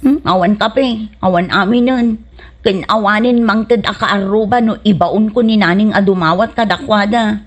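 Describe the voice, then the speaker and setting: A woman's voice: medium pitch, moderate pace, lightly acted. An actress playing a bossy, thrifty mother who nags insistently, in a comedy radio drama. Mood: complaining. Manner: nagging, insistent. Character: bossy, thrifty, shameless village mother and wife.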